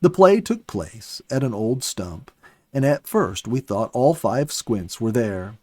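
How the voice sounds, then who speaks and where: A man reading a story aloud in an expressive, dramatic audiobook style, with emphatic swells and softer phrase endings. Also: mid-range voice, slow, no room sound. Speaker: story reader, host of a children's story program. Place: dry, close studio recording.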